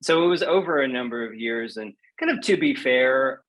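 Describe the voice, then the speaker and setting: A man's voice: mid-range voice, moderate pace, rough recording. Candid, self-deprecating man in conversation. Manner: self-deprecating, animated retelling with quoted asides. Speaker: guest poet. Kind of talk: candid conversational interview answers.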